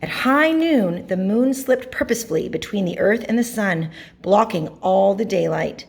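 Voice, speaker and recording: A woman reading a picture book aloud to children, narrating expressively with dramatic pauses and emphasis. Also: medium pitch, animated, vocal fry, husky. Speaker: storybook reader.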